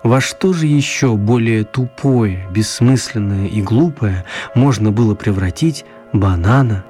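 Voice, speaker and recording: A man narrating a children's radio play as a scripted storyteller, with measured, wry phrasing. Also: fairly low pitch, dark tone, soft background music.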